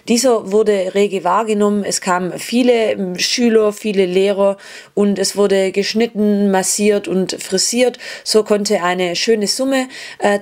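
A woman reads a scripted charity news voice-over. Her pitch is in the middle range for a woman, and the delivery has small amateur hesitations. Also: darkish tone.

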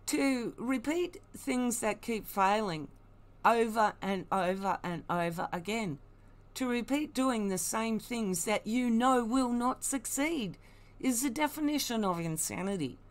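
A woman's voice: fairly high pitch, lively intonation, unhurried. A woman giving blunt, emphatic, mocking commentary, unscripted and opinionated. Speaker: online commentator.

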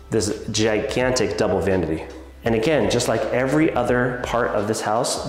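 A man in his thirties giving an upbeat walkthrough tour of a large empty house as a real estate agent, pointing out features as he walks. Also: medium pitch. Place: empty large house, echoey tiled rooms and basement. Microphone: handheld camera, small clip-on mic. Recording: roomy echo from bare rooms.

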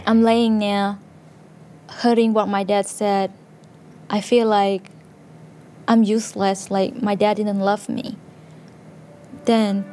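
A woman speaks softly and plainly, her pitch staying very level throughout. She is recorded on a studio interview set with a clear mic while music runs behind her. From Cambodia. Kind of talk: personal testimony. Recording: music underneath.

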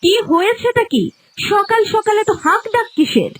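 A woman's voice: very high voice, very animated, moderate pace, low-quality recording. A woman playing a spiteful, scheming young village daughter-in-law in a cartoon, scolding and dismissive. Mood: irritated. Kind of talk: cartoon voice acting.

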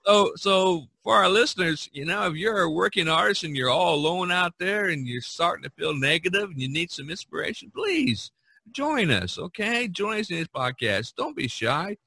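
Bright-voiced man in his sixties, podcast host. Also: high voice, lively intonation, vocal fry, slightly rough, no room sound. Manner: halting, repeats words. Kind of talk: casual, rambling podcast chat.

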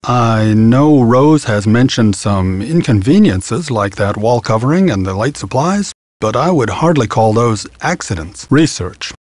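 A pompous, animated antiques dealer. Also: male voice, mid-range voice, unhurried, hardly any pauses, no room sound. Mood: politely dismissive. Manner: formal, precise, aloof, pompous. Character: prim, bookish, courteous male antiques dealer. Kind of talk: video game voice acting.

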